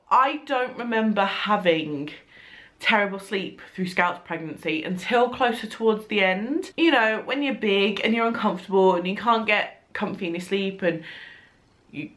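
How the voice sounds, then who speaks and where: Young woman, stuffy nasal voice, casual rambling vlog chat, mid pitch. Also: a bit bright. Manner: chatty, rambling, laughs now and then. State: congested, blocked nose. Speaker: vlogger. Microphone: compact camera.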